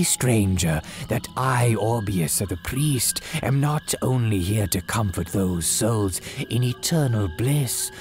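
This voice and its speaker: An actor playing a very old, sinister yet humble cemetery priest in a solemn, archaic radio horror monologue. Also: male voice, fairly low pitch, a little mellow. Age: older. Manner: archaic, solemn, drawn-out dramatic delivery. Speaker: horror storyteller.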